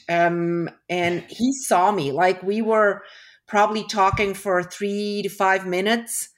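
A middle-aged woman from Switzerland, a guest in a casual interview over a video call, reflective and full of restarts. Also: mid-range voice. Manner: reflective, with frequent restarts and fillers.

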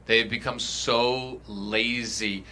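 A man who works as a dating coach, talking bluntly and profanely in a casual podcast chat, telling animated stories. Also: medium pitch, slow pace, slight background noise.

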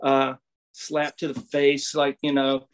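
A middle-aged man from Southwest Virginia, a former pitcher, telling stories in a casual interview with lots of restarts and fillers. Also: monotone, takes it slowly, clear, resonant tone. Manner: rambling, many restarts and fillers. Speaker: guest, former baseball pitcher.

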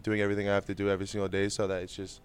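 A man's voice: lowish voice, completely flat delivery, very fast, clean tone. A young adult man, a Spanish native speaker, answering interview questions in an easygoing way with plenty of fillers. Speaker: interview guest, baseball prospect.

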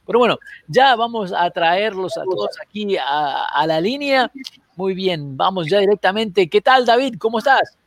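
A man hosting a casual show over a video call, animated, quick to cut in on his guests and often restarting his words. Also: sits high, no room sound. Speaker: host. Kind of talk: casual talk show chat.

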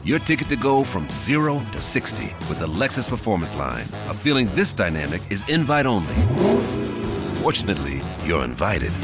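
A man narrating a scripted car commercial as an advertising voice-over. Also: on the low side. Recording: loud music under the voice. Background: music.

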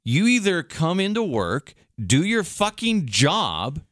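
A man's voice: a little high, huge pitch swings, slow pace, a bit bright, no room sound, clean studio sound. A man hosting a casual sports podcast, speaking in a blunt, informal, slangy way. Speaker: podcast host, radio station worker.

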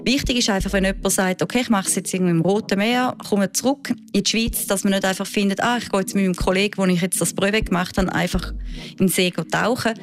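A woman with a Swiss German accent, a diving instructor who answers interview questions in a lively, chatty way with frequent fillers. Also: medium pitch, fast talker. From Switzerland.

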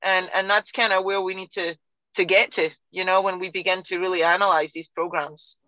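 A woman's voice: fairly low pitch, steady tone, fairly quick, pushed and bright, no room sound, lo-fi sound. A woman from Scotland with a Scottish accent, guest coach on a podcast, chatting loosely with many restarts and fillers. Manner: chatty, frequent restarts and fillers, laughs easily. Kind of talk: casual podcast interview.

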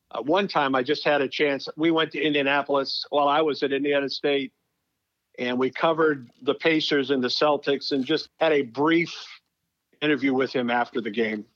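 A man's voice: mid-range voice, normal speed, a bit bright, dry recording, lo-fi sound. A middle-aged male sports broadcaster telling stories conversationally as an interview guest, leaning on phrases for emphasis. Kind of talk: conversational storytelling.

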